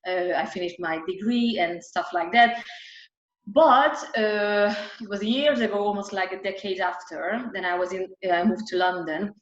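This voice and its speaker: Woman vocal coach, interview guest, halting nonnative English, roomy recording. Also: medium pitch. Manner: frequent restarts and fillers, nonnative phrasing. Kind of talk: casual reflective interview talk. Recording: roomy, dull lo-fi sound.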